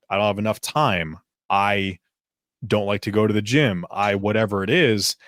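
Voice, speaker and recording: A man talks through business advice on a podcast in a casual, emphatic way. His voice sits on the low side and leaps up for emphasis. The recording is dry and close. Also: no room sound. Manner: animated, emphatic, slips into quoted voices. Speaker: podcast co-host, fitness business coach.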